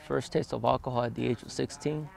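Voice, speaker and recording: A young man in his twenties speaks outdoors in a quiet desert yard, his voice heavily gravelly and fried. He talks flatly with little rise and fall, stopping often on hesitant "uh"s as he answers. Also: medium pitch. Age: 20s. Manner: plain, halting, with many "uh" pauses. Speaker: interviewee. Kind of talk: documentary interview, recounting his past.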